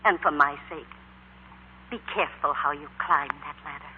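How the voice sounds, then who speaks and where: Tinny old radio, actress as gentle loving mother. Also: deep voice, natural voice. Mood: proud, tender. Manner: tender, measured, sentimental delivery. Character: gentle, proud, loving middle-aged widowed mother. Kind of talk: 1940s American radio drama acting. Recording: tinny, noisy, humming 1940s radio recording.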